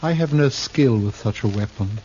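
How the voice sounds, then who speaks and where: An actor in a 1950s radio drama playing a tormented failed thespian on the run, speaking in florid, archaic, Shakespearean phrases. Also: male voice, mid-range voice, normal speed, warm tone, natural voice. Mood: desperate, pleading. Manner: theatrical, archaic florid speech, quoting Shakespeare. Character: desperate, tormented, ill-favoured failed actor turned armed fugitive. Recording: dull, noisy old radio recording.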